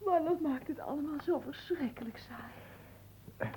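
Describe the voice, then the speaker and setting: A woman's voice: sits high, a little slow, mellow, in a whisper. A woman playing a capricious, oversensitive young noblewoman in a comic radio play, theatrical and haughty in manner. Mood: mocking, coquettish. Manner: theatrical, haughty, swooping between teasing and wailing.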